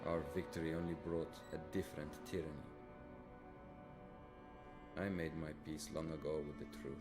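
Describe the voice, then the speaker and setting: A man's voice: very deep voice, fairly even intonation, quick pace, over loud music. A man acting as a principled, defiant Soviet lieutenant in a video game cutscene, earnest and solemn. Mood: solemn, resigned. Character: principled, defiant male Soviet lieutenant, a disillusioned war writer.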